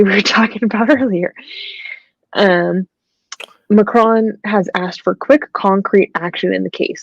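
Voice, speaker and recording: A woman co-hosting a talk show, reading news reports aloud with many pauses and ums, then adding her own comments. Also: on the low side, darkish tone, no room sound, highs cut off.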